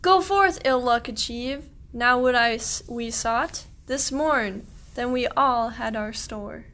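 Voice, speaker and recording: A woman acting an excitable, suspicious shepherd in a rhyming comic radio play, with theatrical exclamations. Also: fairly high pitch, slow pace. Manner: theatrical, exclamatory rhymed verse. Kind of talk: verse radio play acting of a medieval comedy.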